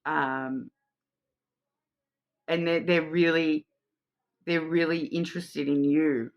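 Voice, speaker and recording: A woman's voice: low-pitched, steady tone, slow, muffled recording. A middle-aged Australian woman with an Australian accent, giving an intuitive card reading to camera with lots of ums and restarts. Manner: rambling, many ums and restarts. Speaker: tarot card reader.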